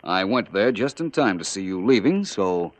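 An actor playing a shrewd, polite, self-assured private detective in old-time radio drama. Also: male voice, low-pitched, big pitch swings, moderate pace. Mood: confident. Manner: probing, polite, incisive. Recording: dull vintage radio recording.

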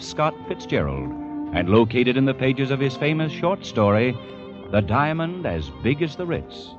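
A man announcing a scripted 1940s radio drama in a grand, theatrical manner. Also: medium pitch. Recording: dull old radio recording, loud music.

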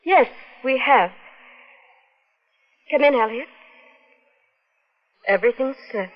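A woman's voice: high-pitched, expressive, slow, heavily acted. A woman playing an anxious, reluctant accomplice and wife in old-time radio melodrama, on a muffled vintage recording. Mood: strained.

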